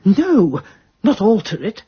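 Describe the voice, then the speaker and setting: An actress playing a prim, resourceful English spinster sleuth in a radio drama, speaking in a fussy, genteel and precise manner. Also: female voice, medium pitch, big pitch swings, takes it slowly, husky, highs cut off, heavily acted. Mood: solemn, trance-like. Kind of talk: British radio drama acting.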